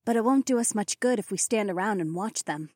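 Guy doing a playful sure-of-herself fantasy warrior woman, high and swoopy, dry audiobook sound. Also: expressive, brisk, darkish tone, no room sound. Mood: teasing. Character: confident, playful women of a fantasy warrior band.

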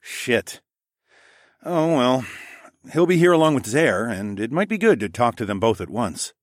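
A man's voice: mid-range voice, big pitch swings, a little slow, no room sound, studio quality, pitched above the performer's own voice. A man playing an easygoing, wry husband and adventurer in casual audiobook dialogue. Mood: exasperated.

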